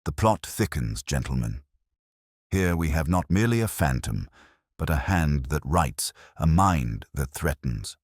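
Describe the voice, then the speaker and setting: A man voicing a keen, calm Victorian gentleman detective in a storybook, speaking formally with quiet authority. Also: very deep voice, a little slow, breathy edge, no room sound, studio quality, heavily acted. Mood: assured.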